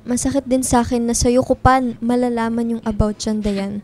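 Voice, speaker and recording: Radio drama best friend, played by a woman: young, guarded, blunt, fairly high female voice. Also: fairly even intonation, normal speed, darkish tone, natural voice. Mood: hurt, confrontational.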